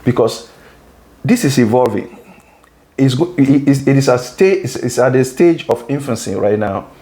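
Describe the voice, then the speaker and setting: A man's voice: mid-range voice, lively intonation, moderate pace, mellow. A Ghana-born man hosting an interview, earnest, who restarts and repeats words as he talks and keeps affirming his guest. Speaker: interview host. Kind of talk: conversational interview.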